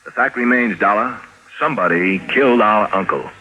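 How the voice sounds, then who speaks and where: An actor playing a cynical, cultured nephew in a 1950s radio drama, speaking in a dry, formal, worldly way. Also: male voice, fairly low pitch, normal speed, pushed and bright, dull audio. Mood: frank. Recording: noisy vintage 1950s radio recording.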